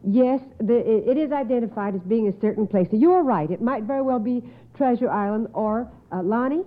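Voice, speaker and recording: A woman's voice: on the high side, fairly quick. A woman hosting a radio quiz in a precise, formal, encouraging manner. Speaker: quiz show host. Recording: tinny, narrow old radio broadcast.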